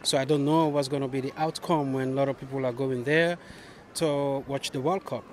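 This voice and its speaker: A man from Sierra Leone answering a street interviewer in a measured, reflective way. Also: mid-range voice, fairly even intonation. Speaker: passer-by interviewee. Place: city street outdoors. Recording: faint street noise outdoors.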